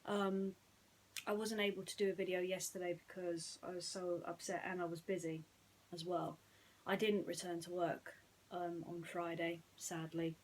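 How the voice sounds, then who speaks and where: A woman's voice: on the low side, flat delivery, normal speed, slight background noise, rough recording. Earnest woman telling bedtime stories. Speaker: storyteller reading bedtime stories. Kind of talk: personal chat and children's story reading.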